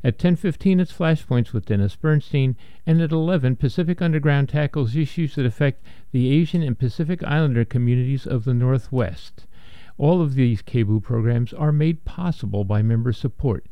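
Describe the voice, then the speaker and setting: A man reading station announcements and the program lineup in a brisk announcer manner. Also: medium pitch, clear, resonant tone, no room sound. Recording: dry, dull studio sound.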